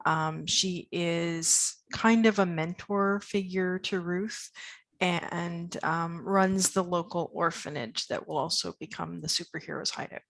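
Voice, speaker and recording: A woman who writes fiction, explaining casually as a podcast guest, in a halting, hesitant way. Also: on the low side, gravelly, fairly bright tone.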